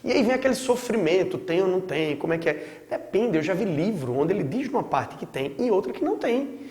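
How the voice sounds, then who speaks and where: A hoarse man with a Brazilian Portuguese accent talks through a biology lesson in a loose, chatty way, often tagging his points with quick check-ins. His voice sits a little high for a man. It rings around a room with long, echoing reverb. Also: big pitch swings, a little mellow. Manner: chatty, with frequent check-in tags. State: hoarse from a sore throat. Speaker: biology teacher. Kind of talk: casual recorded lecture.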